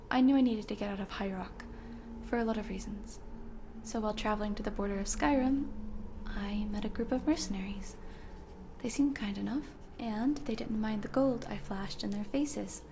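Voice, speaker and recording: A young woman playing an earnest, sweet runaway princess in a video game, with a soft, sometimes breathy delivery. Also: medium pitch, slight background noise, lightly acted. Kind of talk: video game character dialogue.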